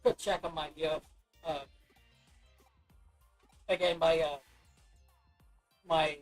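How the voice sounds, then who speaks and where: Hoarse, rambling woman streamer. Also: low-pitched, flat delivery, takes it slowly, soft background music. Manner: rambling, loose, with many restarts. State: scratchy, hoarse voice. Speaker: gaming livestreamer. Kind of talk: casual chat while playing.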